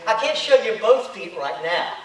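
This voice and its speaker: A man's voice: high voice, normal speed. A man telling a tall tale as a contest speaker, acting out the action with big swings in his voice. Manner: animated storyteller, acting out the action. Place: hotel conference room. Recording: echoey room, recorded from far off.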